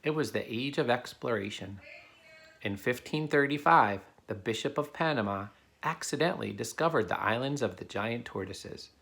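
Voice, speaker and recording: A man's voice: mid-range voice, animated, moderate pace, gravelly, fairly bright tone. A man reading a children's picture book aloud as a father would to his child, in a plain storytelling way.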